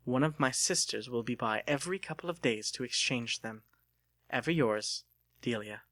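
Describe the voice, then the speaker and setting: A man's voice: mid-range voice, moderate pace, a bit bright, no room sound, lightly acted. A man voicing an earnest, lovelorn young woman with formal phrasing in an audiobook. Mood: earnest, regretful.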